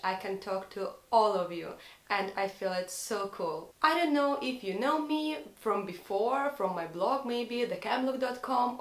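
A woman's voice: mid-range voice, reverberant. Young Russian-accented woman chatting. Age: young adult. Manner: lively, earnest, searching for English words. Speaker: fashion vlogger. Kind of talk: chatty haul video. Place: bedroom, indoors.

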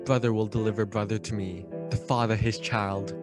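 A man's voice: lowish voice, little pitch movement, fairly quick, music in the background, natural voice. A man playing a commanding, menacing vampire master in a radio play, declaiming darkly.

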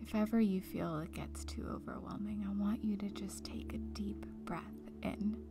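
A woman leading a guided meditation in a soft, breathy, near-whispered voice, calm and gentle, leaving long pauses. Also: mid-range voice. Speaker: meditation guide, breakup coach. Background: music.

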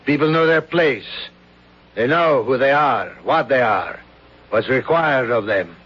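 A man's voice: medium pitch, lively intonation, measured pace. An actor playing a suave, formal male emissary of a monarchy in a 1970s radio drama. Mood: condescending. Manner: formal, ceremonious, smoothly commanding. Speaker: foreign official escorting the actress. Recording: muffled old radio broadcast with hiss.

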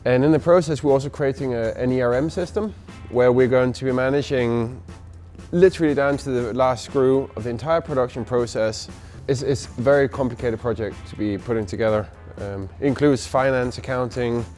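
A man's voice: medium pitch, darkish tone. A man in his thirties walking through a warehouse and office, casually updating viewers while filming himself at arm's length. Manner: conversational, searching for words with fillers. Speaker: company team member giving a project update. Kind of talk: casual walk-and-talk vlog. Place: large warehouse and office indoors. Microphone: handheld camera at arm's length.

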